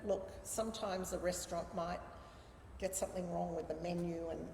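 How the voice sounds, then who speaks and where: A woman's voice: fairly low pitch, fairly even intonation, moderate pace, a little mellow. Australian woman in a very echoey room. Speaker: interview guest. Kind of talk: casual conversational interview. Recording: echoey reverberant room with background noise.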